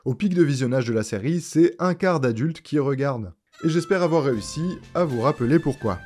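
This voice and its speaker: Male video essayist, soft-edged mellow tone, informal direct address, light music underneath. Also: mid-range voice, expressive, fairly quick. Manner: informal, rhetorical questions, direct address to viewers. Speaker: host of a cartoon video essay channel. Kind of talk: conversational scripted video essay narration. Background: background music.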